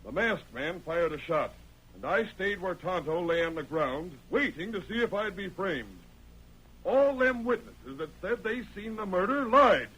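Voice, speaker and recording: A man's voice: high-pitched, animated, measured pace, a bit bright, breathy edge, heavily acted. An actor playing a bold undercover lawman in an old radio western, speaking insistently in folksy Old West speech. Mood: accusing. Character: bold, forceful undercover lawman posing as accused man. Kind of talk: 1940s radio western acting. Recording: noisy old-time radio recording.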